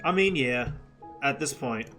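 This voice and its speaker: A woman's voice: a bass voice, animated, a little slow. A woman gamer giving casual, slangy, emotional reactions to a game. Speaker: gamer reacting to a story quest. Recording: loud game music behind.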